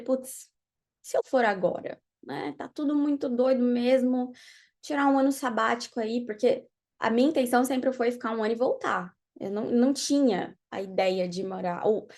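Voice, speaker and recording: A young Brazilian woman, a podcast guest in a studio, tells her story in a relaxed, animated way and laughs often. Her voice sits a little high. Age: young adult. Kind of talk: casual interview chat.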